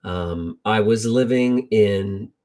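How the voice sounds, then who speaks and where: A middle-aged American man tells Bible stories informally with personal anecdotes, in a halting, reflective way, repeating phrases for emphasis. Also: on the low side, mellow. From United States. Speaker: lay Bible storyteller.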